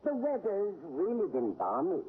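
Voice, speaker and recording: A woman's voice: medium pitch, huge pitch swings, normal speed. An actress playing a kindly, scatterbrained older landlady in an old radio sitcom, with fluttery, sweetly vague exclamations. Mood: fussy, cheerful. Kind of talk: 1940s-50s radio sitcom acting. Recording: tinny vintage radio recording with noise.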